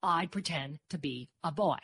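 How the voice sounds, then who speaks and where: A woman's voice: sits low, moderate pace, a bit bright, breathy edge, dry recording, heavily acted. A woman voicing an eager, stubborn tomboy girl, earnest and defiant, in an audiobook. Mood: defiant, determined. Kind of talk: audiobook first-person character dialogue.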